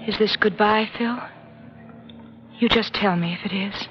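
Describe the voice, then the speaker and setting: An actress playing an anxious young adventuress, breathless and imploring, in old radio melodrama style. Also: female voice, medium pitch, steady tone, a little slow, natural voice. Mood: subdued, wistful. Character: anxious, pleading young female adventuress in trouble. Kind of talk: 1940s-50s radio melodrama acting. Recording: dull, noisy old radio recording with clicks.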